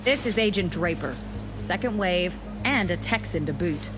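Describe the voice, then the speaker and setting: A woman's voice: fairly low pitch, expressive, normal speed, music in the background, close to an everyday voice. A woman playing a decisive, dryly spoken agent in charge, acting in a video game cutscene. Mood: welcoming. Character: brisk, commanding female agent in charge.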